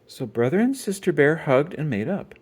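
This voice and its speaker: Animated man reading a children's story. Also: on the high side, normal speed, slightly rough. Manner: expressive storytelling. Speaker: storybook reader. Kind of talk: children's read-aloud narration.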